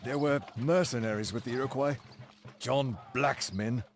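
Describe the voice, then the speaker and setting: A man's voice: medium pitch, normal speed, faint music behind, natural voice. An anxious army captain, beaten and reporting to a superior, played by a man. The delivery is dramatic video game cutscene acting. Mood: shaken. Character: anxious, defeated male British captain reporting.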